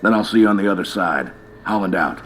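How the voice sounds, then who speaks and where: A fairly low-voiced senior colonel in a video game. He is grave and terse, talking in radio procedure with faint noise around him. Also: lively intonation, fast, a bit bright. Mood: brisk, official. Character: grave senior male military colonel, commanding. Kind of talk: video game cinematic acting.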